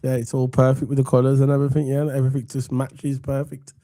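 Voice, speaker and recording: A man from England with a croaky voice from a cold, hosting a casual football chat and rambling warmly to viewers. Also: medium pitch, monotone, fast talker, no room sound, studio quality. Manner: chatty, rambling, gives shout-outs to viewers. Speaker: football podcast host.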